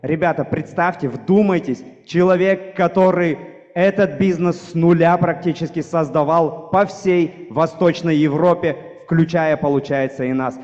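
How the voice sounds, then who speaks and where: Brief: fairly high-voiced male emcee, rousing intro, hall echo. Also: normal speed, darkish tone, dull audio. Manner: rousing, builds up the next speaker. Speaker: event emcee. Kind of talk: stage introduction.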